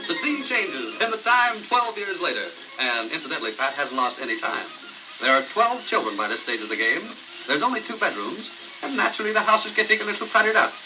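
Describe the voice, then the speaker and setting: A man's voice: high voice, sing-song, fairly quick, music in the background. A man narrating a scripted comic story on a novelty record in a theatrical, folksy way. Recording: noisy crackling 78 rpm record.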